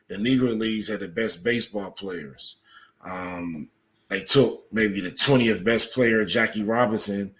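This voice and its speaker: A man with a fairly low voice talks as a guest on a podcast. He explains conversationally, setting out each point in turn and backing it with examples.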